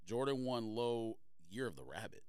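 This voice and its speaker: A man's voice: mid-range voice, sing-song, normal speed, crowd noise. A man co-hosting a podcast in casual debate, insistent and argumentative. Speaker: podcast co-host.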